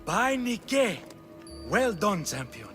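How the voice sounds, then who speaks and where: Scheming Spartan royal for a game: haughty, higher male register, dry sarcasm, music behind. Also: big pitch swings, takes it slowly, fairly bright tone, full character voice. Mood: hearty. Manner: haughty, sardonic. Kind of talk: dramatic video game cutscene acting.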